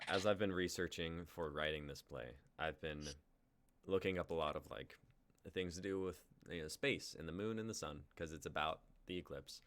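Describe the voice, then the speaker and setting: A man's voice: low-pitched, fairly bright tone. A man in his twenties, a playwright chatting casually and reading out stage directions. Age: 20s.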